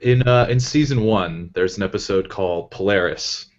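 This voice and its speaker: A man who voice acts, recounting things in a casual chat in a halting, deliberate way. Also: lowish voice, dull audio. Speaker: voice actor in an audio drama.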